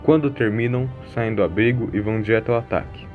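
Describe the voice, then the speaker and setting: A man's voice: on the low side, fast, narrow, tinny audio. A man reading scripted narration for an audio drama in a storytelling style. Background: faint music.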